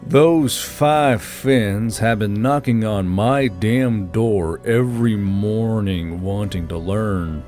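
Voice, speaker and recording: A man voicing a weary, matter-of-fact leader who murmurs in a measured way in a fantasy story. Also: lowish voice, a little mellow, soft background music. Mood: patient, weary.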